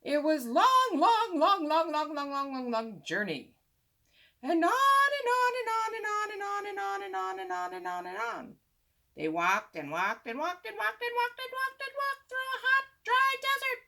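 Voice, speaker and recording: Woman reading as an animated, exasperated storybook boy. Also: very high voice, voice pushed up, heavily acted. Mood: bored, exasperated. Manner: exaggerated, drawn-out repetition. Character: young boy, reluctant reader, candid and exasperated. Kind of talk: storybook character narration.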